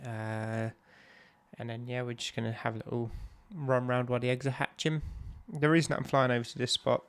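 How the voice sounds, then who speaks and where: Stuffy-nosed guy, flat and rambling. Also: medium pitch, a little fast, clean tone. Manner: relaxed, rambling, lots of ums. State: stuffy, bunged-up nose. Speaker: game streamer. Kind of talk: casual live-stream chat while playing.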